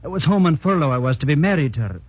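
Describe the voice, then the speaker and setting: An actor playing a sentimental, lovesick young Scottish commando piper in a 1940s radio drama, with a Scottish accent. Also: male voice, fairly high pitch, expressive, racing, husky. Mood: lovesick, wistful. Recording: muffled 1940s radio broadcast.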